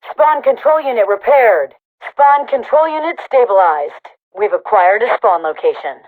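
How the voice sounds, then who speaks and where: Radio-filtered female military commander. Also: mid-range voice. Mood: urgent, clipped alert. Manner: crisp military delivery, authoritative. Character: female military commander, in-game announcer of a sci-fi army. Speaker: game announcer and tutorial voice. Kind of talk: scripted game announcements and briefings. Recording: narrow phone-like radio sound.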